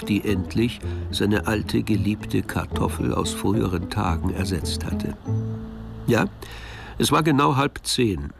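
A man narrating a radio drama, telling the story in a measured, precise literary style. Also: on the low side, vocal fry. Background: music.

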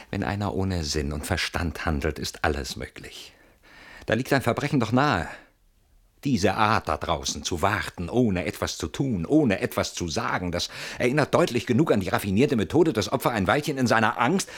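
A brooding, besieged husband in a radio play, very low and husky in voice. His speech swings widely in pitch as he frets and argues, stubborn and suspicious of everything. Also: fairly bright tone, exaggerated voice. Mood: brooding, fearful. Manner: agitated, brooding, argumentative. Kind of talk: German radio play acting.

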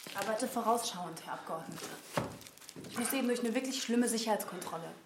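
A woman's voice: fairly high pitch, a little fast, lightly acted. An actress playing a cool, businesslike young woman dealer, controlled and curt, in a naturalistic radio drama. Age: young adult. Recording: roomy, faint background noise.

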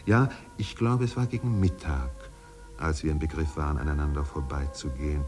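Deep, dark-voiced solemn narrator. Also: male voice, faint music behind. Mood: reflective, melancholy. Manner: measured, poetic, solemn delivery with drawn-out phrases. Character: melancholy male narrator, lyrical and solemn, recalling islands. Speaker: narrator of a radio play. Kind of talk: 1950s German literary radio drama narration. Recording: 1950s radio studio production.

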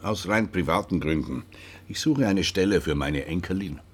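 An actor playing an older, dry and terse retired police inspector in a naturalistic radio drama. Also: male voice, low voice, moderate pace, natural voice. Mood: dry, casual.

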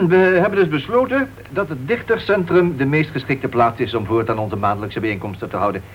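A man's voice: medium pitch, expressive, brisk, lightly acted. An actor playing a formal, slightly impatient club chairman in a radio play. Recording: dull, faintly noisy vintage radio recording.

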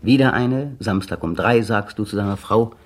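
A man's voice: lowish voice, normal speed, close to an everyday voice. A man voicing a brooding, bitter monologuist. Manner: brooding, bitter, precise diction. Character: tense bitter man brooding on his partner. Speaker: male narrator of a radio play. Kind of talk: 1960 radio drama inner monologue.

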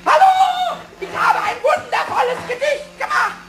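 A man voicing a small mischievous kobold in a German children's radio play, squeaky, cackling, sing-song and boastful. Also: lively intonation, measured pace, shouting, heavy background noise, heavily acted. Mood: triumphant, boastful.

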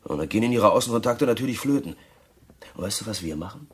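A man's voice: fairly low pitch, lively intonation, fast, close to an everyday voice. An actor playing a chummy, uneducated male prison cellmate with schemes in a radio drama, colloquial, eager and wheedling. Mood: eager, persuading. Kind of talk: German radio drama acting.

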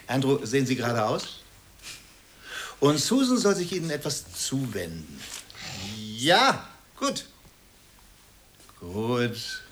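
An actor playing a courteous, exacting painter in a German radio play, giving polite and slightly hesitant instructions. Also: male voice, mid-range voice, big pitch swings, slow, pauses often, a bit bright, a little hiss or hum. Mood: focused directing.

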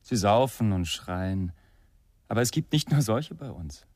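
An actor playing a condescending far-right extremist in a radio crime drama, controlled and quietly threatening. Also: male voice, lowish voice, lively intonation, a little slow, no echo, clean studio sound. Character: menacing, self-assured male far-right extremist, condescending.

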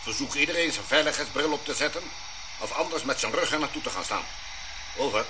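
Over a clearly noisy two-way radio, an expedition operator in a radio play. His mid-pitched voice is brisk, clipped and procedural. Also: lively intonation. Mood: businesslike. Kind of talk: radio drama acting.

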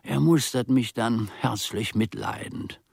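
An actor playing a resentful old manservant in a radio play, muttering to himself in a gravelly, husky voice with pedantic, mock-learned phrasing. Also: male voice, medium pitch, measured pace, a little mellow, no echo, clean studio sound. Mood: grumbling, sardonic. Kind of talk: theatrical radio play monologue.

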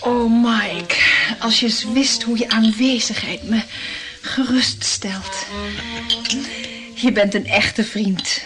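Affectionate, emotional high-voiced client. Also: female voice, steady tone, takes it slowly, over music. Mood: fond, admiring. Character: anxious, admiring female client of a detective. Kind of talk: Dutch radio drama acting.

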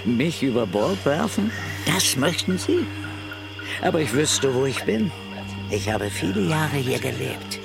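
A man playing a sly, resentful informer in a German radio drama, insinuating and bitter, with a creaky voice. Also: mid-range voice, very animated, unhurried. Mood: resentful, needling. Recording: music behind the voice.

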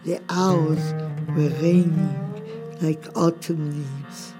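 Low-voiced woman reciting poetry slowly. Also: steady tone, mellow. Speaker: author reading own poetry. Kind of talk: slow poetry recital in radio drama. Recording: radio production with music underneath. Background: music.

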